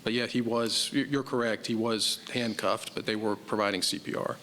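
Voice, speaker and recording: Police captain explaining in a reverberant hall. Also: male voice, fairly low pitch, steady tone, sharp tone, a little hiss or hum. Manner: measured, explanatory, admits uncertainty. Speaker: police captain answering board questions. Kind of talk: formal public meeting testimony. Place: city council chamber.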